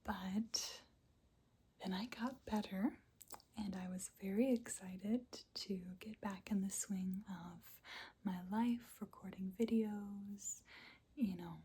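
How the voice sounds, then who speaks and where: Woman ASMR host for a casual update chat, whispered throughout and very breathy. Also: medium pitch, slow. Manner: soft unvoiced whisper with small quiet laughs.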